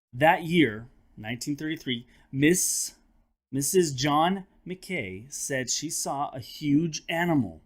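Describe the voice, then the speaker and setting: A man hosting a children's read-aloud, telling the story in a dramatic, expressive way with chatty asides. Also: medium pitch. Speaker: host and teacher reading aloud to children.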